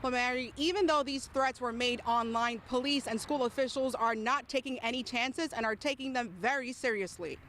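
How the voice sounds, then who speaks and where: A woman's voice: fairly high pitch, moderate pace, few pauses, a bit bright. A woman with an American accent giving a scripted live news report from outdoors. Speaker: TV field reporter. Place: outdoors outside a school. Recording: faint outdoor ambience and traffic.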